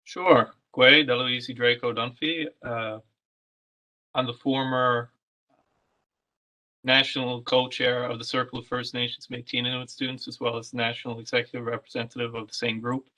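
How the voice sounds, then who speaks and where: Bright, flat-voiced man. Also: mid-range voice, normal speed, low-quality recording. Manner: matter-of-fact listing of roles. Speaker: panelist. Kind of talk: self-introduction on a panel.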